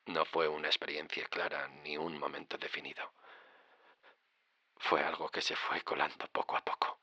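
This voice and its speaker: An actor playing a haunted driver recounting his dread in a horror audio drama, with suspenseful pauses. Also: bright, forceful tone, no echo, muffled recording, full character voice. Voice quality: low, gravelly. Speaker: narrator and lead character. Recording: dramatic music under the voice. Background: music.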